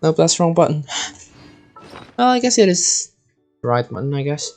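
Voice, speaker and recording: A man's voice: fairly high pitch, big pitch swings, normal speed, darkish tone, faint music behind. A man doing casual live gameplay commentary, rambling and thinking aloud. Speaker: game streamer.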